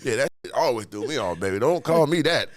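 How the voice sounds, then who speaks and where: A man's voice: medium pitch, lively intonation, fast, a crowd in the background. A man in his forties hosting a podcast, asking questions and throwing in crude, joking banter. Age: 40s. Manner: crude joking banter, calls guest "baby". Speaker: podcast host. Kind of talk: casual podcast chat.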